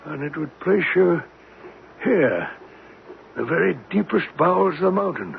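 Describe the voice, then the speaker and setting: An actor playing a scholarly older professor in a 1970s radio drama, speaking with a hesitant, deliberate manner. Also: male voice, a little high, very animated, gravelly, heavily acted. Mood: absorbed, explaining. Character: scholarly older male professor, absent-minded yet eager. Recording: noisy, tinny old radio broadcast.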